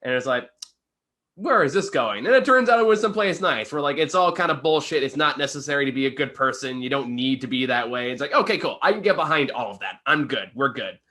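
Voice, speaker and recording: Male review co-host, mid-pitched with a sharp bright edge, casual, sweary, self-deprecating and halting. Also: expressive.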